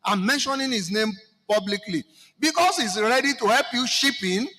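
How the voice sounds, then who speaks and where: A Nigerian man with a Yoruba-speaking accent, addressing a seminar audience with animated, rhetorical questions. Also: very high-pitched, normal speed, big-room sound. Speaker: seminar participant speaking to the floor.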